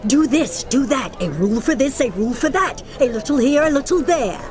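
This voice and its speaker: A woman's voice: high voice, animated, a little fast. An actress playing a mocking female scoffer in a dramatized Bible reading, mimicking in a singsong way. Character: mocking female scoffer chanting petty rules. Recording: crowd noise behind the voice.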